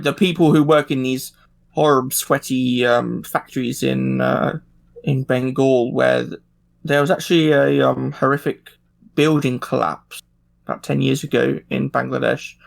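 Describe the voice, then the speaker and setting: A man's voice: medium pitch, creaky. A man chatting casually as a podcast panelist, hesitant and thinking aloud as he goes. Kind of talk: casual discussion.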